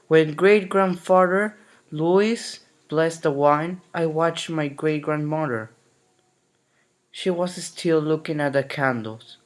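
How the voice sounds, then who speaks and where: A man reading a children's picture book aloud in a gentle, unhurried way. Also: fairly high pitch. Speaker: narrator. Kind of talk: storybook reading.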